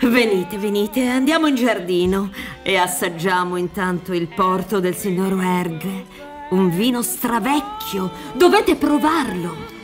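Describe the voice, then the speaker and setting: A woman's voice: medium pitch, slow, close to an everyday voice. An actress playing a genial, crafty woman defence lawyer in a radio drama, coaxing and emphatic as she cautions a client. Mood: confiding, coaxing. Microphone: close studio mic on stand. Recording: music playing behind the voice.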